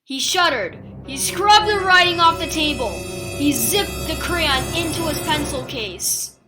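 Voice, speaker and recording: A woman reading a spooky children's picture book aloud in a theatrical, suspenseful way with deliberate pauses. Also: high-pitched, lively intonation, over music. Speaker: children's storybook reader.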